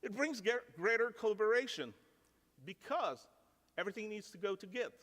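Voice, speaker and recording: A man's voice: sits high, lively intonation, normal speed, fairly bright tone. French-speaking Canadian man in a reverberant hall. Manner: casual, self-deprecating, filler "like". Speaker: conference speaker. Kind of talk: technical conference talk. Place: large conference ballroom. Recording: reverberant conference ballroom.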